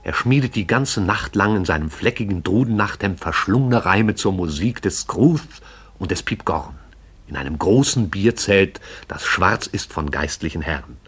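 An actor playing the lyrical storyteller of a radio play, addressing the listener intimately in an incantatory, poetic way. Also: male voice, deep voice, expressive, moderate pace, a bit bright, husky, heavily acted. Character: hushed, lyrical omniscient storyteller of a sleeping town. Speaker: narrator.

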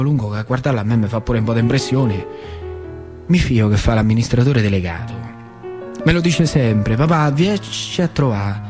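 An actor playing a plainspoken, wistful older factory worker with a central Italian, Lazio accent, in a theatrical monologue with colloquial repetitions. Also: male voice, mid-range voice, close to an everyday voice. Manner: colloquial, repetitive, dramatic swings from emphatic to hushed. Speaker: stage actor.

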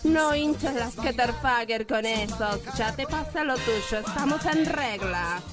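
An actress playing a brazen, coaxing brothel madam in a comedic radio drama, with an Argentine accent and a drawling, familiar manner. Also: female voice, fairly high pitch, animated, takes it slowly. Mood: cajoling. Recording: music behind the voice.

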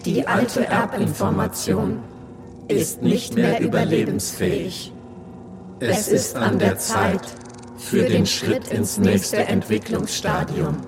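A man voicing an all-ruling machine in a radio play, proclaiming in a solemn, breathy whisper. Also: high-pitched, exaggerated voice. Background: loud music.

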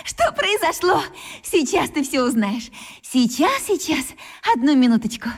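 A woman's voice: high-pitched, big pitch swings, measured pace, heavily acted. A gushing, doting mother. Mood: elated. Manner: gushing, affectionate. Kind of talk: radio play acting.

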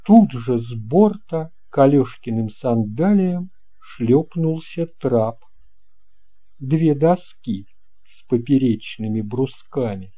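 Male audiobook narrator, very dark mellow tone, slow pace, expressive storytelling. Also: medium pitch.

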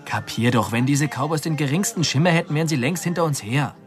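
A man's voice: a little high, fast, close to an everyday voice. An actor playing a brash young male con man in a radio play, talking in a swaggering, dismissive way. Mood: cocky.

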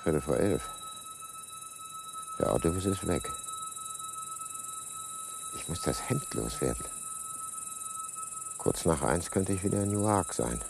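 An actor playing a furtive, coolly calculating husband in a German radio crime play, often muttering clipped thoughts to himself. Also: male voice, on the low side, huge pitch swings, a little slow, vocal fry, pauses often, exaggerated voice. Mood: cold, calculating. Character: furtive, tense husband, polite in public, coolly calculating murderer. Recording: noisy 1980s radio drama production.

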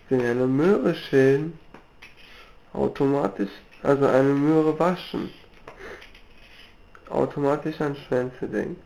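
Slow, creaky, mellow casual man. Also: on the high side, rough recording. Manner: halting, slangy, crude. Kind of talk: casual, rambling monologue.

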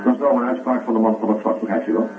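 An actor as a persistent psychiatrist, tinny and echoing. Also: male voice, lowish voice, rapid-fire, background noise, lightly acted. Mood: measured, firm. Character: insistent male psychiatrist questioning a patient, patient but firm. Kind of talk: Dutch science fiction radio drama acting. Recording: roomy, distant, tinny phone-like radio recording.

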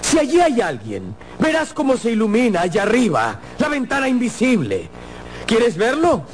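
A man's voice: very high-pitched, huge pitch swings, normal speed, background noise, exaggerated voice. An actor playing a menacing, possessive male captor in a radio drama, with a theatrical, mock-tender and taunting manner. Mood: sly, taunting.

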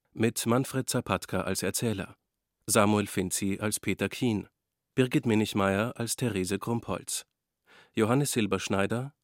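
A man's voice: on the low side, no echo, clean studio sound. A man reading the closing credits of a radio play in a neutral, formal way. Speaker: broadcast announcer. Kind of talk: credits announcement.

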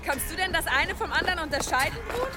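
Cheeky girl, very high voice. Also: fast, music in the background, crowd noise. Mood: teasing. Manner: cheeky, lively. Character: bold, teasing, illiterate young female villager. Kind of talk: German radio drama acting.

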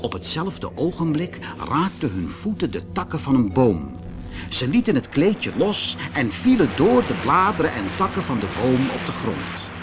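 A man narrating a scripted children's fairy tale in an expressive, dramatic way. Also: medium pitch, moderate pace, over music. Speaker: storyteller.

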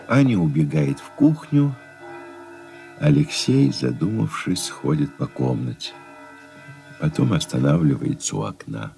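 A man reading stage directions as the narrator of a radio drama, in a measured, scripted manner. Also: rumbling low, very animated, creaky, mellow. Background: cello and string music.

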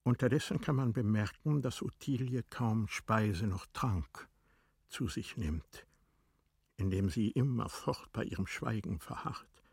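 Very husky man, slow literary narration, deliberate dramatic phrasing, radio drama style. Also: on the low side, darkish tone, no room sound, clean studio sound.

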